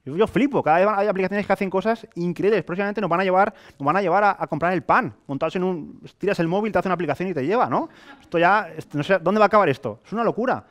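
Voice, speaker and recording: A man in his late twenties with an accent from Spain, giving a colloquial, animated sales presentation to a live audience. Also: high voice, very fast. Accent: Spain Spanish. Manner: animated, colloquial, rhetorical questions and acted-out examples. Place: hotel meeting room with a small audience, indoors.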